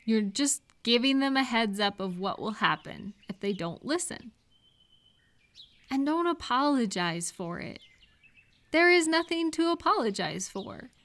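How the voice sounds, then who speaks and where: Woman w a slightly high voice, chatty one on one advice roleplay, reassuring, explains stuff. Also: lively intonation, measured pace, fairly bright tone. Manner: reassuring, explanatory, speaking directly to one listener. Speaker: audio roleplay performer giving supportive advice. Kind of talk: conversational one-sided supportive advice roleplay.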